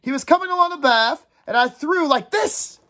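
A narrator voicing an excited, boastful schoolboy hunter who insists on being noticed, in a storybook reading. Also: mid-range voice, normal speed, natural voice. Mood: indignant.

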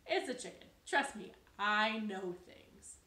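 Woman playing a self-assured, slow-talking wise frog. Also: medium pitch, lively intonation, pushed and bright, roomy sound. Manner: assured, explanatory, drawn-out.

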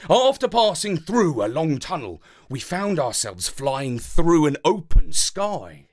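A British man reads a children's story with hugely animated intonation. His voice sits a little high, and he takes his time, delivering it theatrically and deliberately. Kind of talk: expressive children's story narration.

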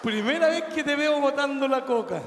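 A Chilean comedian playing a bossy director in a live stage sketch, giving orders in an exaggerated way. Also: male voice, very high-pitched, normal speed, heavy background noise. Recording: live festival stage with hall echo.

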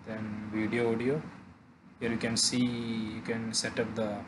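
A man narrating a software tutorial haltingly, pausing often mid-phrase. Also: lowish voice, level tone, noisy background. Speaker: tutorial presenter.

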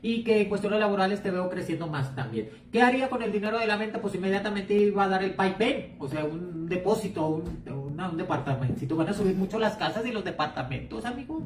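A woman's voice: mid-range voice, animated, quick pace, hardly any pauses. A Mexican woman reading fortunes in a casual live stream, blunt and outspoken, scolding her listeners. She sits back from the phone in an echoey room with a faint hum behind her. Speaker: psychic and tarot reader. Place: home room, indoors. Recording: echoey room, far from mic.

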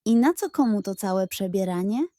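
A woman voicing a greedy, lazy male pug dog who gets indignant and whiny in a high, overexcited voice. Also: animated, moderate pace, dark tone, no room sound, studio quality, close to an everyday voice.

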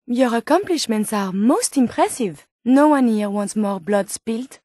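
Gentle female android scientist, French accent, higher pitch, formal and earnest, video game dialogue, very dry close sound. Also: lively intonation, a little slow, dry recording. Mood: polite, curious. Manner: formal, precise, earnest phrasing. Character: gentle, earnest female robot scientist turned synthetic human.

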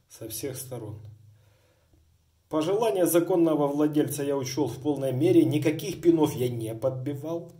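A man's voice: mid-range voice, dark tone. A middle-aged man demonstrating a honed razor, talking in a wordy, formal way with many hedges and asides. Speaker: razor sharpener. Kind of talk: hands-on demonstration. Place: indoors at a workbench.

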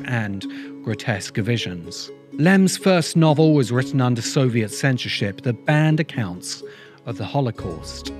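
A middle-aged man reading scripted documentary narration as the host. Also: medium pitch. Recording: music under the voice.

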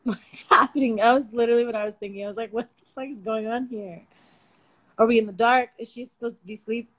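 A woman in her twenties talks casually to viewers from her bedroom at night, her phone held close. Her voice sits slightly high, and she is bubbly and affectionate, often breaking into giggles. It feels loose and unscripted. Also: fairly even intonation, quick pace, low-quality recording. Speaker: livestream host. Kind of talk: casual livestream chat.

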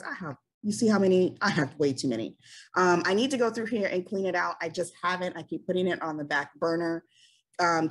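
Chatty woman, tired scratchy voice. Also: lowish voice. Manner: chatty, many ums. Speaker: business coach. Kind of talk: casual screen-share tutorial.

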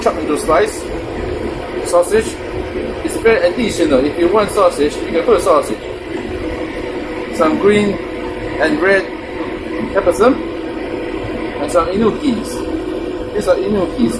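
A man's voice: sits high, slow, pauses often, heavy background noise. A man hosting a casual recipe tutorial, chatty with simple phrasing and playful sing-song repeats. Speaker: cooking show host. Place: small indoor room.

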